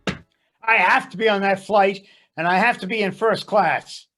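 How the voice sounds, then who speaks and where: An actor playing an angry, self-important airline passenger in a comedy sketch, pompous and demanding. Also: male voice, sits high, unhurried, bright, forceful tone, faint music behind. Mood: demanding anger. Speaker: amateur stage actor.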